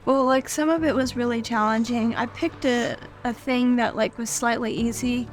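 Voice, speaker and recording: Young girl with a highish, hesitant voice. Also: steady tone, normal speed, faint music behind. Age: child or young teen. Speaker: interview guest and young reader. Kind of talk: casual chat. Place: studio.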